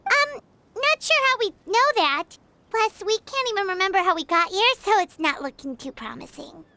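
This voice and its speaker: A woman voicing a tiny, excitable childlike pixie companion in a video game, squeaky, naive and exclamatory. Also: normal speed, no room sound, full character voice. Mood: doubtful. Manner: exclamatory, questioning, cartoonish. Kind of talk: video game character dialogue. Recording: studio voice over prominent music.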